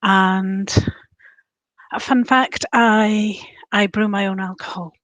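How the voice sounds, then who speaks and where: A woman from Wales, a functional consultant, introducing herself on a video call in a halting way. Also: medium pitch, steady tone, a bit bright, no echo.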